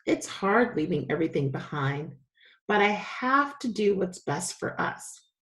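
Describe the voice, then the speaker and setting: Lady reading as a caring storybook mom, gentle and steady, lots of lilt. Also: fairly low pitch, unhurried. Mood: weary, careful. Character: caring, weary but steady mother of a boy.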